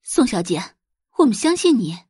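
Anxious, courteous mother, acted by a woman, lively intonation. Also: fairly high pitch, moderate pace, husky, dry recording, studio quality. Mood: anxious, hopeful. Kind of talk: Chinese audiobook drama dialogue.

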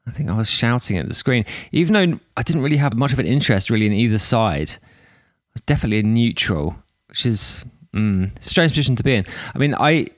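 A man with an English accent hosting a podcast, slightly hoarse, rambling casually with restarts and fillers. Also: medium pitch, expressive, brisk, no room sound, studio quality. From England. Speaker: podcast host. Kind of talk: casual monologue intro.

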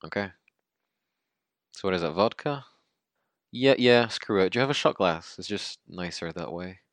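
Deep-voiced, haunted young survivor. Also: male voice, lively intonation, dry recording. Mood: reluctant, edgy. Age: 20s. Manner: halting, informal, sweary, dramatic storyteller. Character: young Mexican man, haunted sweary survivor telling his tragedy. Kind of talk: confessional monologue in an audio drama.

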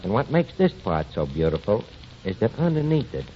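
A man's voice: on the low side, very animated, a little fast. An actor playing a scheming tavern manager in a 1940s radio comedy, with ungrammatical, wheedling salesman's patter. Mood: grandly pitching. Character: scheming, fast-talking tavern manager, small-time promoter with grand ideas. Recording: muffled, noisy 1940s radio recording.

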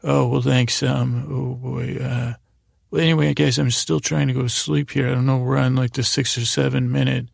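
A man performs a weary would-be sleeper in a bedtime comedy podcast, heavily creaky and close on a dry recording. He pleads haltingly, put-upon and easily sidetracked. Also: mid-range voice, little pitch movement, dry recording. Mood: weary, distracted.

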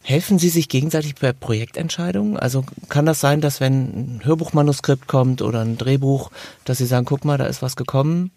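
A man's voice: medium pitch, fairly quick, vocal fry, mellow, dry recording. A man interviewing a guest, putting polite, prepared questions to him.

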